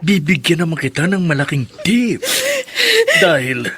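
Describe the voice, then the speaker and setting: Leering, high-pitched lecherous old man. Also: expressive. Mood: lecherous, gloating. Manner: leering, chuckling, drawn-out talk. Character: lecherous rich old male bar patron, leering. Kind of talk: radio drama acting. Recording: noisy crowd behind the voice.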